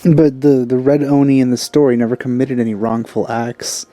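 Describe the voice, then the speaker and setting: A man's voice: mid-range voice, little pitch movement, normal speed, dark tone, no echo. A man giving casual let's play commentary on a video game as he plays.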